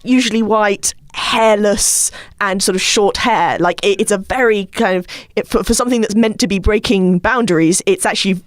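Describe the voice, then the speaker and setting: A woman talks casually on a podcast panel in a mid-pitched voice. She feels her way through sentences, hesitating and doubling back on words. The sound is dry, with very little room in it. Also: no room sound. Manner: hesitant, halting delivery with repeated words.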